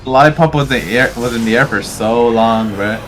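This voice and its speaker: A man's voice: medium pitch, moderate pace, a bit bright, poor audio. A man giving casual, slangy, sweary banter as he reacts to gameplay. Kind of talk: casual let's-play banter. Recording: game music behind. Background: video game music.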